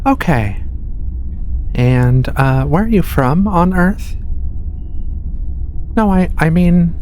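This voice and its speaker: A woman voicing a patient alien feline researcher in a soft-spoken ASMR roleplay, with hesitant, reassuring questioning over a ship-like ambient rumble. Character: female alien feline researcher, patient, clinical, gently playful. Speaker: medical examiner.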